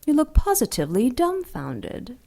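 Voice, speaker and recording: A woman playing a seductive, vain ancient dark angel in an audio drama, teasing and theatrical, savoring her words with amused menace. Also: sits high, wildly swooping pitch, moderate pace, creaky, full character voice. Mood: mock innocent.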